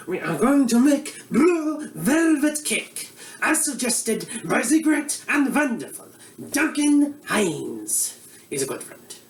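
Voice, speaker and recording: A woman playing a bumbling, shady male Eastern European TV cook who speaks slow, broken English and gets suspiciously defensive. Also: fairly low pitch, far from the mic. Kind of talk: comic cooking show parody. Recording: roomy, from the mic, faint ambience.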